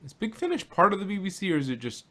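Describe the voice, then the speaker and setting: A man's voice: high voice, wildly swooping pitch, rapid-fire, crowd noise. A man hosting a casual podcast discussion, rambling as he thinks aloud. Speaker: podcast host reviewing audio dramas.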